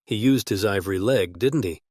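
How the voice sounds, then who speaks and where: A man's voice: lowish voice, brisk, a little mellow, breathy edge, no echo, studio quality. A man voicing an old humpbacked merman who argues and lectures in a coaxing, oratorical way. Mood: pompous persuasion. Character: old humpbacked badger-haired merman, muttering, cajoling and lecturing.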